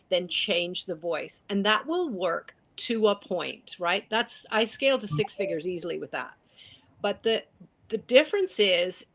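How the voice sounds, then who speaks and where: A woman coach speaking in an interview over a video call, animated and laughing, with many restarts. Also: fairly low pitch. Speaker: business coach. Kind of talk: interview conversation.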